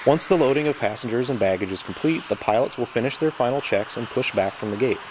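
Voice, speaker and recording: A man giving scripted educational narration in a measured, explanatory way. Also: medium pitch, noisy background. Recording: loud vehicle ambience behind narration.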